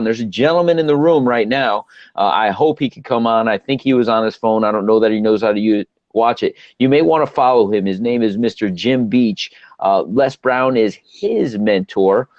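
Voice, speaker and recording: A man's voice: mid-range voice, moderate pace, a little mellow, no room sound. Enthusiastic male host, dull dry sound. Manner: enthusiastic, encouraging, talks to his audience. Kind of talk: live online talk show.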